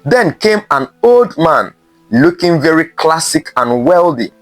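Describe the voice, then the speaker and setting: A man narrating a scripted folktale in a measured way, with dramatic pauses between short phrases. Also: on the high side, big pitch swings, dry recording, faint music behind. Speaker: storyteller.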